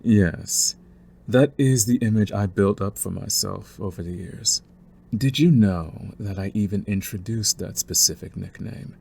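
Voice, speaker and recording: A man playing a young mafia heir in an intimate audio roleplay, smooth-spoken and deliberate, with a cunning, condescending streak beneath his courtesy. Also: on the low side, darkish tone, a little hiss or hum. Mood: cold, menacing. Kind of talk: intimate scripted audio roleplay, ASMR acting.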